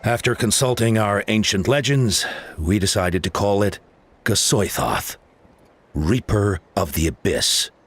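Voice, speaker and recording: Solemn, low-voiced leader. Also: male voice, expressive, unhurried, breathy edge. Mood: grave. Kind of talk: video game character acting.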